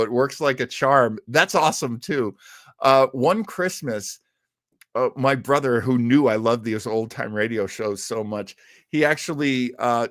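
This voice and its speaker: A man's voice: medium pitch, fairly bright tone, no room sound, studio quality. A man from the United States chatting casually and enthusiastically as host of a live listening show, rambling into asides. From United States, outside Boston. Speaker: host of an old-time radio listening show.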